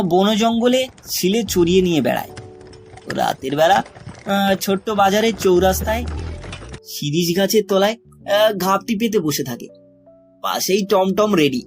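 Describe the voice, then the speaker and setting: A man narrating a spooky cartoon tale expressively, with hesitant pauses. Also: sits high, animated. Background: music.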